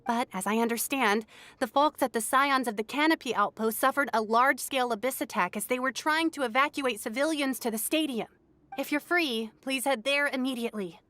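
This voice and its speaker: High-voiced battle informant. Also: female voice, a little fast, sharp tone. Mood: urgent, concerned. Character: female military informant giving urgent battle briefing. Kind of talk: video game voice acting. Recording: game music behind the voice.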